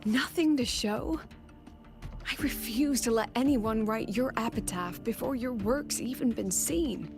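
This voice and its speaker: Proud young lady academy president, game dialogue, fairly high voice, music clearly behind her. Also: unhurried. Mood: resolute. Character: resolute, proud young woman academy president, loyal protector. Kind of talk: dramatic game voice acting.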